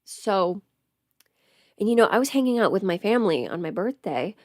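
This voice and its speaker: A woman's voice: medium pitch, big pitch swings, moderate pace, no echo, clean studio sound. A woman who is sick, chatting casually on a livestream as host, thinking aloud and interrupting herself. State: sick at home with covid. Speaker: livestream host, audio creator. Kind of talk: casual rambling livestream chat. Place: at home.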